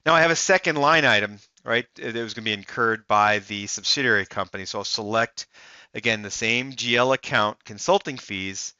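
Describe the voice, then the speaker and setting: A man with a crisp, forceful voice walks through a software demo step by step. He sounds fairly low-pitched and is recorded close and dry, as a voice-over. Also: moderate pace, dry recording, highs cut off. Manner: explanatory, step-by-step first-person walkthrough. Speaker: software demonstrator. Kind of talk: narrated software demo walkthrough.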